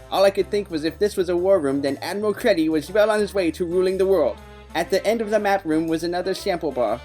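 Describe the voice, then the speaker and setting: An actor playing a quirky, earnest detective who narrates an audio play in matter-of-fact, nerdy game jargon. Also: male voice, high-pitched, a little mellow, natural voice. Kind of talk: scripted first-person audio play narration.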